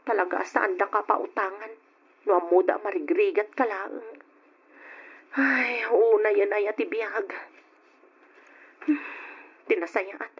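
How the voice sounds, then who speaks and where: An actress playing a poor young maid in debt, timid and pleading, in an old radio drama. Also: female voice, medium pitch, steady tone, slow pace, long pauses, slightly rough. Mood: whispering. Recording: dull old radio recording.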